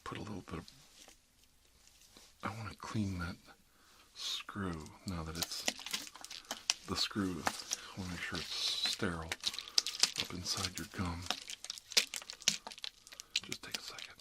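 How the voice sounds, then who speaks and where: A man's voice: low-pitched, fairly even intonation, measured pace, pauses often, heavy background noise. A man doing an ASMR roleplay as a dentist, rambling casually and wryly while reassuring his patient. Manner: wry, conversational, reassuring patter to a patient. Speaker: ASMR performer playing a dentist.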